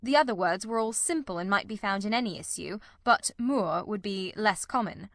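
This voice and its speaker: A woman voicing a confident, analytical gentleman detective who lectures precisely, in an audiobook. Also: mid-range voice, lively intonation, moderate pace, no room sound, studio quality. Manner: didactic, precise. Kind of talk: audiobook character reading.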